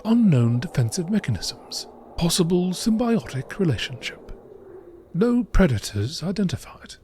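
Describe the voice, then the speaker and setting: A man reading scripted text as a narrator in nature documentary style, with a measured, matter-of-fact, formal delivery. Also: high voice, very animated, dark tone, a little hiss or hum. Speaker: narrator reading out game lore.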